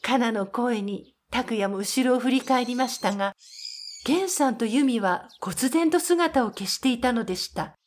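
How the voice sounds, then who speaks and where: A woman's voice: fairly high pitch, unhurried. A woman narrator reading scripted storytelling narration for an audio drama.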